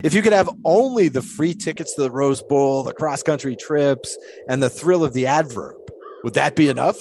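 A man's voice: medium pitch, moderate pace, a little mellow, slight background noise, close to an everyday voice. An actor playing a grandiloquent, philosophical 1920s showman in an audio drama, musing in wordy, theatrical speeches. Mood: probing, insistent.

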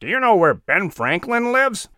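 Cato, a man doing a bright young eagle. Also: high voice, big pitch swings, normal speed, no echo, clean studio sound, voice pushed up, full character voice. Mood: eager. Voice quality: eager, wide-eyed. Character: young male eagle, eager and polite.

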